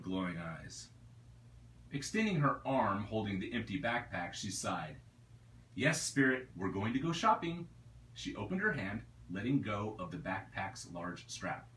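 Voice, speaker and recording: A man reading his own novel aloud as a storyteller, in a deliberate, expressive narrating style. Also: on the low side. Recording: roomy echo, distant mic, faint noise.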